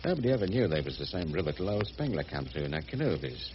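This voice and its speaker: An actor playing a rough, good-hearted, middle-aged working man with a working-class English accent and colloquial speech in a radio drama. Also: low voice, very animated, very fast. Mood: tender, chatty. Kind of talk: BBC radio drama acting. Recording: dull, noisy old radio recording.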